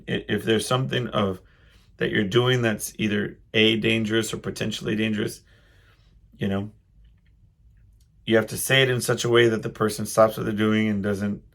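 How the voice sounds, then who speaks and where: A middle-aged man with a low, gravelly voice talks alone as a podcast host. He thinks aloud in a casual, halting ramble, circling back over his own phrases. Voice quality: loud voice. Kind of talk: casual solo monologue, personal reflection.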